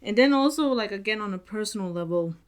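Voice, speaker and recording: A woman's voice: mid-range voice, expressive, fast talker, clear, resonant tone. A young woman from Sweden talking earnestly in a casual book vlog, with many fillers and restarts. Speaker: book vlogger.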